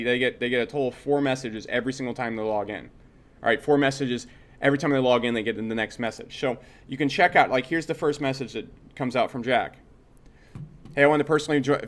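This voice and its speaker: A man teaching a casual screen-share tutorial, speaking bluntly and conversationally, repeating himself and checking in with short tags. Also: mid-range voice. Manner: blunt, opinionated, swears freely. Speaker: software instructor.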